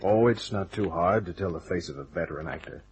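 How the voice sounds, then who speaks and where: An actor playing a wry, cocky private detective, narrating and talking in a dry, laconic old-time radio style. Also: male voice, sits low, brisk, slight background noise, close to an everyday voice. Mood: dry, probing.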